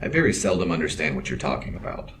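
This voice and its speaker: An actor playing a calm, capable bodyguard in an audio drama, terse and protective, with deadpan, clipped, formal speech. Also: male voice, extremely low pitch, fast, slight background noise, heavily acted. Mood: dry, puzzled.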